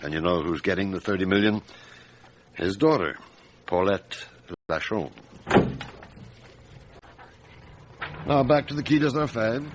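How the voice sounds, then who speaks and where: A man playing a seasoned, gruff and methodical police chief inspector in a detective radio drama, speaking in a measured, deliberate, brooding way. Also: on the low side, expressive, heavy background noise, lightly acted. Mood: knowing. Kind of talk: radio drama acting and narration.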